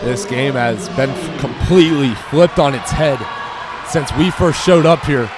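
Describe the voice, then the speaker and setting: A young American man giving live basketball play-by-play in short, clipped calls that rise to excited shouts. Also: medium pitch, unhurried. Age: young adult. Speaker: play-by-play commentator. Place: indoor high school gym during a game. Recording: noisy gym with loud crowd. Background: crowd noise, bouncing basketball.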